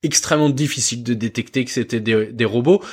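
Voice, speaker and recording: A man with a mid-pitched voice co-hosts a tech podcast. He talks hesitantly, repeating words and pausing on fillers. His delivery is casual. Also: slightly rough. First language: not English. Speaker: podcast co-host.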